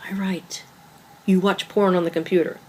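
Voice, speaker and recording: A woman's voice: on the low side, flat delivery, normal speed, a little mellow, husky. A woman reading as a blunt, guarded girlfriend. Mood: curt. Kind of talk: table read of a two-person stage play.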